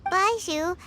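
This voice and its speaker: A woman's voice: very high voice, heavy background noise, full character voice. A woman voicing a small, bossy talking snake in a video game, scolding in a blunt, theatrical way. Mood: exasperated, serious. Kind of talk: scripted video game dialogue. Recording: game audio with music behind.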